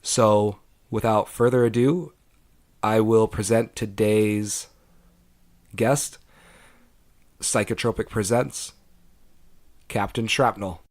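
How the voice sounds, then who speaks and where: A man hosting a podcast, speaking earnestly with measured pauses, a little congested from a cold. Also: on the low side, fairly even intonation, darkish tone, no echo. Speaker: podcast host. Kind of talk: podcast intro and interview.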